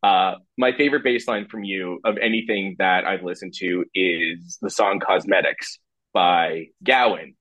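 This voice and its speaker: A man's voice: low-pitched, fairly even intonation, a little slow, a bit bright, husky, poor audio. A man from Canada hosting an interview, talking as an eager fan who laughs easily. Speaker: podcast host interviewer. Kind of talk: casual interview.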